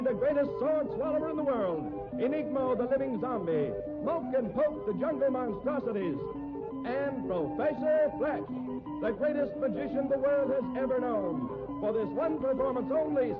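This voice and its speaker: An actor playing a grandiose, theatrical announcer and sideshow barker in 1950s radio style. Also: male voice, very high voice. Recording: muffled old radio recording, loud music.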